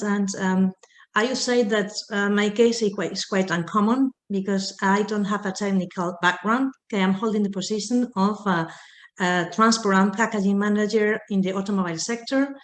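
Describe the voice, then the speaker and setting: A woman talks earnestly on a video call and keeps putting in "okay" between phrases. Her voice sits at an ordinary pitch. The room around her sounds echoey. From Spain. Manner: frequent "okay" fillers, earnest. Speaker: panelist, logistics manager. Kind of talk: online round table answer. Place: home or office on a video call. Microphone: video call microphone.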